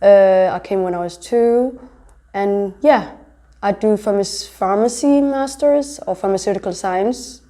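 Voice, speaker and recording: A woman's voice: medium pitch, a little slow, warm tone. A young woman in her twenties, born in Somalia and raised in Denmark, answering interview questions about her design work and studies in a chatty way, with many fillers. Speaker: fashion designer and pharmacy student.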